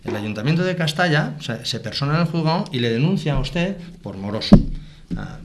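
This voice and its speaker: A man in a local TV debate arguing his point in a measured way, with frequent hesitations. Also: fairly high pitch, expressive, very noisy. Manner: measured, argumentative, many hesitation fillers. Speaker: debate participant.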